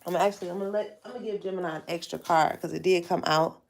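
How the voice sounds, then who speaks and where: Woman, live tarot reading, nasal stuffy voice, gravelly fry, faint background noise. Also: lowish voice, steady tone, quick pace. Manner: chatty, addresses viewers directly. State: stuffy, nasal from sinus trouble. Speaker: tarot reader hosting a livestream.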